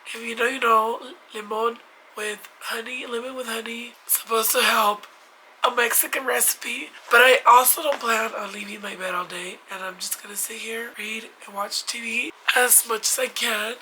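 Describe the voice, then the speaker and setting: A young woman talks in a loose, rambling way, full of fillers and restarts. Her voice is hoarse and stuffy from a cold, but its tone stays bright. A soft hiss of background noise sits under it. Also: fairly high pitch, steady tone, moderate pace, poor audio. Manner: chatty, rambling with many likes and restarts. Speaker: book vlogger. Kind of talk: casual chat. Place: at home in bed.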